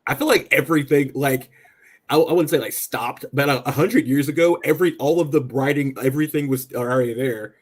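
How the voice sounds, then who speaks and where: Rambling American man with a husky voice. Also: medium pitch. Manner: halting, rambling, agreeable. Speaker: political talk show panelist. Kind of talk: casual political chat.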